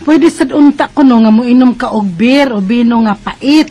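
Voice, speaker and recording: Woman acting insistent Cebuano mother, high voice. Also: moderate pace, noisy background, close to an everyday voice. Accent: Cebuano Filipino. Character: insistent mother of a teenage daughter, pushing bitter remedy. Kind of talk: Bisaya radio drama acting.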